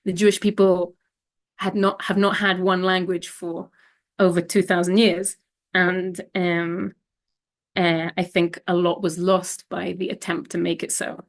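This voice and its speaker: A woman's voice: on the low side, little pitch movement, unhurried. Hesitant woman presenting slides. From Israel. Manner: hesitant, many fillers and restarts.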